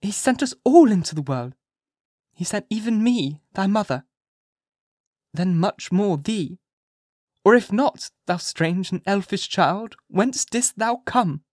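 A narrator voicing a troubled, earnest young mother in an audiobook, pleading with slow, solemn emphasis. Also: mid-range voice, animated, darkish tone, no echo, studio quality, pitched above the performer's own voice. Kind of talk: dramatized audiobook character voice, archaic speech.

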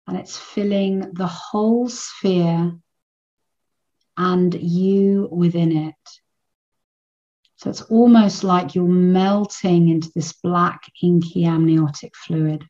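A woman leading a guided meditation in a soothing, unhurried manner with long pauses between phrases. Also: on the low side, steady tone, dark tone, lo-fi sound.

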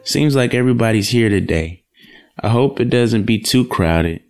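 A man playing a courteous, earnest young gentleman courting his sweetheart in an audio drama. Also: medium pitch, expressive, normal speed, faint music behind, lightly acted.

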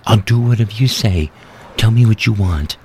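A man's voice: lowish voice, moderate pace, a little mellow, a little hiss or hum, pitched above the performer's own voice, lightly acted. A man voicing a small, powerful vampire woman in an audio story, loving and anguished, with a tearful, pleading manner. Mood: tearful, earnest.

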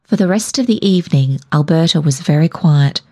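A woman reading a short story as scripted narration, in a measured storytelling manner. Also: deep voice, animated, mellow. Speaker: story reader.

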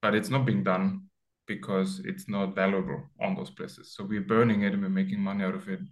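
A man's voice: low voice, flat delivery, brisk. A man from Austria, a founder as interview guest, explaining step by step over a remote call with many ums. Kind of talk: casual interview talk.

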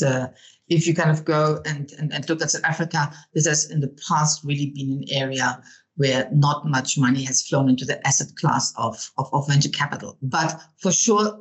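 A low-pitched woman from Germany speaks with a German accent, answering as a guest in an interview. She pads her thoughtful answers with fillers and repeats words as she works through her points. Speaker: venture capital partner, guest.

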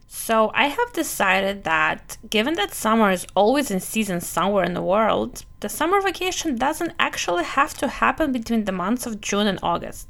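A woman's voice: fairly high pitch, very animated, normal speed, vocal fry, hardly any pauses, fairly bright tone. A woman in her thirties with a Russian accent mixed with some Brooklyn, hosting a conversational, scripted solo travel podcast. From Europe, moved to the US in youth. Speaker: podcast host, family travel planner.

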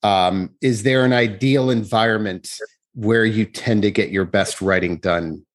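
A man with a fairly low voice conducts a conversational interview. He sounds inquisitive, building up to his questions and rewording them along the way. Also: a little slow. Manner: inquisitive, rephrases questions as he goes. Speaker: interviewer, host.

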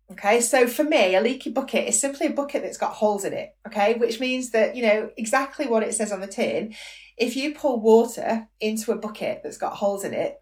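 A woman with a croaky voice hosting her own business podcast, chatty and encouraging, often checking in with "okay?". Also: mid-range voice, distant mic. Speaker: podcast host, business coach. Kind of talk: solo podcast monologue.

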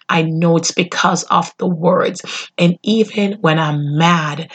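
Earnest, slightly nasal woman podcaster. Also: lowish voice. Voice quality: a little nasal. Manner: earnest, emphatic, slows on key phrases. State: under the weather, voice not fully recovered. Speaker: podcast host. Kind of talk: motivational solo podcast talk.

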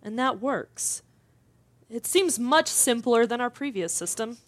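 A woman's voice: on the high side, expressive, measured pace, no room sound. A woman acting as an inquisitive, down-to-earth broadcast interviewer in a fiction podcast. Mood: curious, engaged. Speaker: talk show interviewer. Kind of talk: conversational scripted interview acting.

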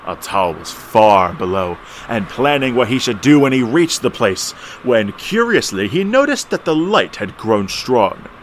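A man narrating an eerie old tale as a solemn storyteller, in a measured, theatrical delivery. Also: medium pitch, big pitch swings, slight background noise, poor audio. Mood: solemn, ominous. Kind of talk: scripted horror story narration.

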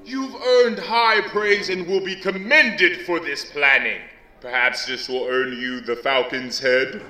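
Man acting booming council chairman, commanding, bright slightly high voice, echoey room. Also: takes it slowly. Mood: jovial. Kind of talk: audio drama acting.

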